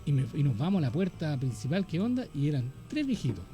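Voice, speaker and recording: A man with a Chilean accent hosting a radio show, telling lively anecdotes in casual chat and laughing often. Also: fairly high pitch, fast, faint music behind.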